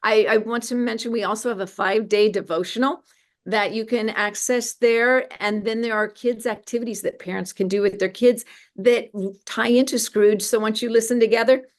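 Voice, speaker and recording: A woman speaking earnestly and enthusiastically as an interview guest promoting her organization's work. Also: fairly high pitch. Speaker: guest, media ministry representative.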